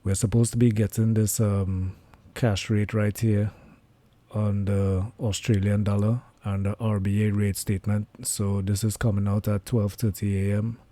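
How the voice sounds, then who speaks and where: Hoarse man commenting live trades. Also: on the low side, normal speed. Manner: relaxed, thinking aloud with many fillers. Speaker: trading livestreamer.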